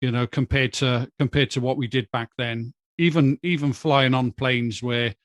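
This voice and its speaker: A man, a guest on a podcast, speaking in a measured, thoughtful way with hesitant starts. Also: medium pitch, steady tone, no echo. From Wales. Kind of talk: casual podcast chat.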